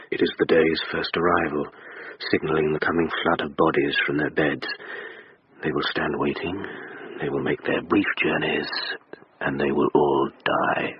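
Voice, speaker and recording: A man playing a cold, impassive, sinister watcher in a radio drama, measured and ominous, with a gravelly, breathy voice. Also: rumbling low, dry recording, full character voice. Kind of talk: poetic radio drama monologue. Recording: dry, muffled radio recording.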